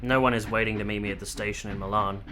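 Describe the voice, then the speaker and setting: An actor playing a blunt but soft-hearted nineteenth-century gentleman in a radio drama, with formal phrasing. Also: on the low side, steady tone, quick pace, soft background music. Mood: gentle, apologetic. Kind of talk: period radio drama acting.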